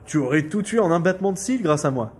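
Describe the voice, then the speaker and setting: An actor playing a patronizing, prying older uncle in politics, in a French audio drama, with probing, hesitant delivery. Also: male voice, on the high side, expressive, fast talker, a little hiss or hum, close to an everyday voice. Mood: patronizing, fond.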